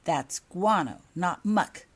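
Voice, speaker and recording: A woman's voice: lowish voice, expressive, slow. A woman voicing a serene teenage schoolgirl who explains things pedantically with sly mockery in a storybook. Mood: serene, informative.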